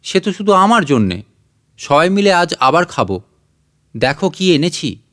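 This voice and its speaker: A man's voice: on the high side, big pitch swings, measured pace, a bit bright. A man playing a cheerful, affectionate father in an audiobook story, calling out heartily. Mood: eager. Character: cheerful excited poor father home with a big fish. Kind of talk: audiobook character acting.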